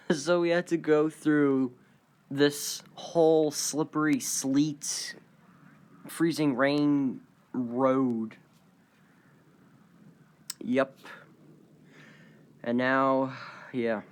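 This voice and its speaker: A man's voice: medium pitch, flat delivery, very slow, long pauses. A man giving casual, off-the-cuff vlog commentary in a rambling, conversational way. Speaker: vlogger.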